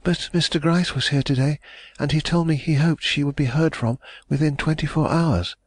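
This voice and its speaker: A man's voice: a little high, normal speed, dry recording, voice pushed up, close to an everyday voice. A man voicing an anxious young rich woman, with emotional, breathless pleading in his delivery.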